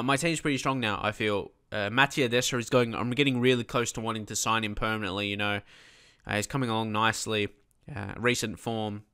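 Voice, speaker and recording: Let's-play host, man with a head cold, rambling then excited, bright smooth tone. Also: medium pitch. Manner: chatty, many fillers, bursts of excitement at goals. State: blocked nose from a cold. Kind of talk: casual gameplay commentary.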